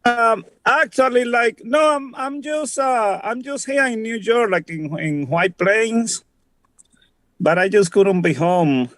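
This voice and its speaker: Male caller, notably high voice, casual and hesitant, dry phone sound on a video call. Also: slow pace, a bit bright, no room sound. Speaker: participant calling in. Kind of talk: casual chat.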